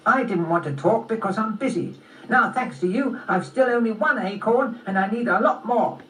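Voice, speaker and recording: A woman's voice: mid-range voice, moderate pace, pitched above the performer's own voice, natural voice. A woman voicing a busy, grumpy squirrel in a children's story, with a mocking, impatient manner. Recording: roomy, distant, faintly noisy recording.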